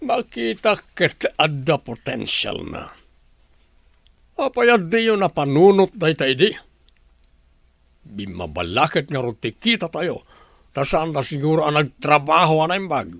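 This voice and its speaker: A man's voice: fairly high pitch, very animated, unhurried, creaky, long pauses, fairly bright tone, no room sound, studio quality, exaggerated voice. An actor playing a stern, demanding company boss and father in a radio drama, with an Ilocano Filipino accent.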